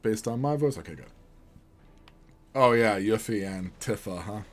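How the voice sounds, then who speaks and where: A man with a booming voice talks like a philosophy professor thinking aloud. His musings are earnest and rambling, delivered in a lecture-like way as he plays a video game. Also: expressive, a little slow. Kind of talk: casual monologue while playing a video game.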